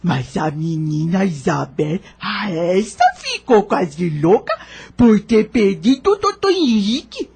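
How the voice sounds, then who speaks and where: A woman's voice: medium pitch, big pitch swings, slow pace, creaky, darkish tone, slightly rough, full character voice. A woman playing a kindly, humble old nanny in a Brazilian audio novel, speaking an affectionate rustic dialect. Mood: gossipy, sorrowful.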